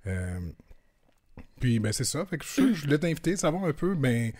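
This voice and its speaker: A man's voice: fairly high pitch, big pitch swings, normal speed, a little mellow. A man hosting a podcast interview, talking casually and hesitantly with many fillers. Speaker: podcast host.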